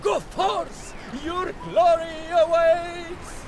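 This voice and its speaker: An actor voicing a pompous, theatrical masked vigilante in a video game, declaiming grandly with easily wounded pride. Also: male voice, very high-pitched, steady tone, very slow, heavy background noise, exaggerated voice. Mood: booming, ceremonial.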